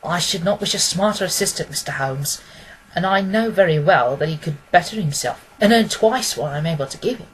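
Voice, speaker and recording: A woman's voice: lowish voice, expressive, fairly quick, a little hiss or hum, close to an everyday voice, rough recording. A woman voicing a plain-spoken, earnest male pawnbroker who rambles a little, in a storybook reading.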